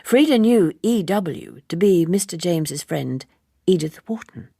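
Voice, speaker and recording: Low, husky woman narrating a novel. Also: dry recording, clean studio sound. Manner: measured, expressive, with deliberate phrasing. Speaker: audiobook narrator. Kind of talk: literary audiobook narration.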